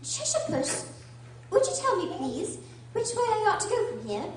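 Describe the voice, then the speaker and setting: A woman playing a polite, curious and earnest little girl with a prim, expressive delivery in a storybook radio drama. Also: extremely high pitch, normal speed, slight background noise. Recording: very echoey room, distant mic.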